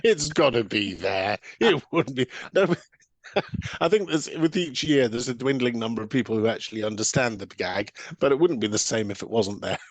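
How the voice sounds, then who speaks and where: A man talking as an interview guest, reflective and halting, then landing short emphatic statements. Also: mid-range voice, lively intonation, dry recording. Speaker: podcast guest from pantomime theatre. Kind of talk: conversational interview talk.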